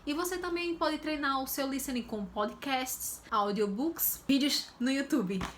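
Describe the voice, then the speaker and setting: A woman's voice: high voice, unhurried, slight background noise. A young woman vlogging casually about how she trains her English listening, chatty and self-correcting as she goes. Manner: chatty, with self-corrections and frequent pace shifts. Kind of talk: casual explanatory vlog.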